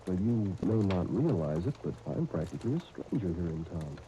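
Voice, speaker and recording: Genial, very mellow deep-voiced businessman. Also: very animated, a little fast. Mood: jovial. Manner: jovial, mock-grand phrasing, chuckling. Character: genial, self-mocking young businessman with a playful streak. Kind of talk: 1930s radio drama acting. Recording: dull, noisy 1939 radio broadcast.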